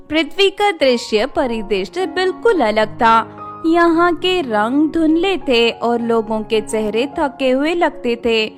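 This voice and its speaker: A woman narrator reading a scripted fairy tale for children. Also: sits high.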